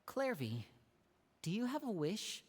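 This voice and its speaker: A man voicing a confident young male magician, smooth and persuasive, a protective older brother. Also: high voice, huge pitch swings, a little slow, slight background noise, heavily acted. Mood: coaxing.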